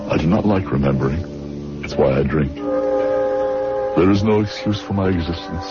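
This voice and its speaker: An actor playing a bitter, guilt-ridden middle-aged drunkard in a radio drama, brooding and theatrical. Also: male voice, rumbling low, measured pace. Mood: despairing. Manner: brooding, theatrical, self-loathing. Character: bitter, guilt-ridden, aging English drunkard haunted by fear. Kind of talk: radio drama acting, confessional narration. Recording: dull radio recording with music behind.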